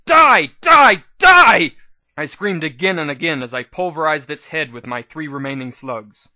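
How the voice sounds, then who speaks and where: A man's voice: a little high, fairly quick, pushed and bright, no echo. A man reading a scripted first-person story aloud as a dramatic audiobook narration. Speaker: audiobook reader.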